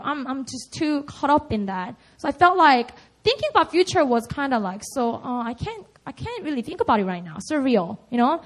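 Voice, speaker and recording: A young woman with a Korean accent speaks from a church platform in a high, light voice. Her talk is earnest and personal, full of small laughs and "you know". English is her second language. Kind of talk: testimony in a church service. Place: church hall.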